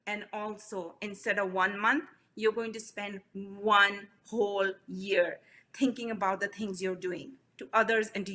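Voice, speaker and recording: A woman's voice: fairly high pitch, sharp tone. A woman voicing an exasperated male judge who scolds and hands down a sentence. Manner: scolding, fed up.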